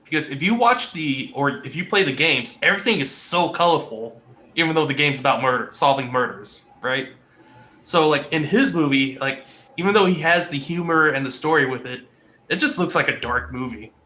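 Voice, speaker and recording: A man's voice: on the high side, lively intonation, moderate pace, bright, forceful tone. A man on a podcast panel, giving opinions casually with a wry, joking streak.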